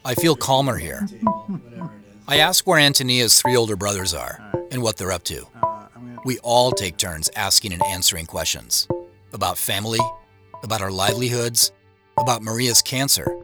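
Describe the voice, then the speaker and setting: A man's voice: fairly low pitch, lively intonation, normal speed, music in the background, lightly acted. An actor playing an introspective man in his late thirties, narrating his own story in an audio drama. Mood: reflective. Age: late 30s. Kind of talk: scripted first-person drama narration.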